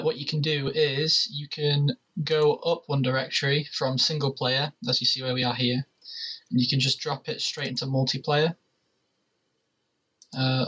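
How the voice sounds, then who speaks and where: A man's voice: mid-range voice, sharp tone, slightly rough, rough recording. A man presenting a casual gaming tutorial, explaining things step by step as he works on screen.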